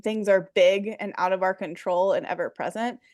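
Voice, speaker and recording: A woman's voice: mid-range voice, normal speed, a little mellow, no echo. Enthusiastic woman counselor, dry sound. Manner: casual, enthusiastic, many "like" fillers. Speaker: mental health counselor. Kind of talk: interview guest.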